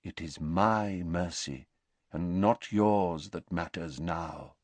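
A man as an elderly wizard, calm, courteous, lilting up and down, measured, wry, storybook. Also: mid-range voice, dry recording, voice pushed up. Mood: quiet firmness. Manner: measured, polite, gently wry. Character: calm, courteous, wise old male wizard.

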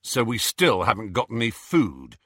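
A man's voice: fairly low pitch, very animated, moderate pace, a bit bright, husky, dry recording, studio quality, exaggerated voice. A man voicing a grumpy, sarcastic teenage boy in an audiobook, blunt and irritable. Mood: protesting.

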